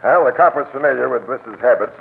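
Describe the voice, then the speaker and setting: An actor playing a gruff, dry police captain in a crime radio drama, with matter-of-fact delivery. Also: male voice, medium pitch, fast talker, natural voice. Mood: dry, businesslike. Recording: tinny, hissy old radio broadcast.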